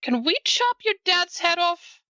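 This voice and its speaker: A woman's voice: extremely high pitch, big pitch swings, measured pace, pushed and bright, no echo, studio quality. A woman roleplaying casually as a player in a tabletop fantasy game, with a blunt, practical way of talking.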